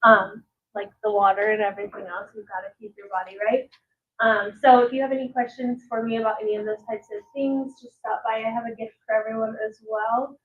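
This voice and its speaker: Girl introducing herself at an event, bubbly, highish voice, tinny audio. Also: level tone, fairly quick. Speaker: esthetician. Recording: phone-like thin audio.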